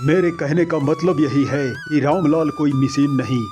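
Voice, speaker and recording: A man playing a kindly henpecked merchant in an animated moral story, placating and gently reproachful. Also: on the high side, a little slow, music in the background, natural voice.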